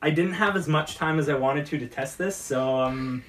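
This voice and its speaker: A man's voice: a little high, moderate pace. A chatty, enthusiastic man hosting a casual talk show, bantering as he mixes drinks. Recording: roomy, distant mic with noise and clicks.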